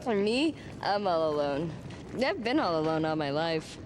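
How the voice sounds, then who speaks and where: A woman's voice: sing-song, moderate pace. An actress playing a lonely, proud young woman with a teasing yet guarded manner in a game drama. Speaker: voice actor in a game cutscene. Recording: clear background noise or rumble.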